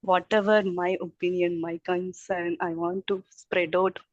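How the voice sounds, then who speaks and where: An Indian-accented woman earnestly rambling through her introduction at an online staff meeting, slightly low voice, muffled connection. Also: flat delivery, a little slow, no echo. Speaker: childcare teacher introducing herself. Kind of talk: informal self-introduction at a group meeting. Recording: dull, muffled audio.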